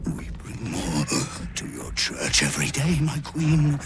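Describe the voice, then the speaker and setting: A man voicing a fanatical, broken-minded drider devoted to his queen in a video game, creaky, reverent and theatrical. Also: medium pitch, sing-song, unhurried. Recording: music underneath. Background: game music.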